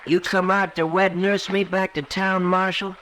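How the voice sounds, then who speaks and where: A bitter, stubborn young man of the frontier, lovesick and defiant. His voice is fairly high, in the manner of an old 1950s radio western. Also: flat delivery, measured pace, sharp tone, a little hiss or hum. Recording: vintage radio drama recording.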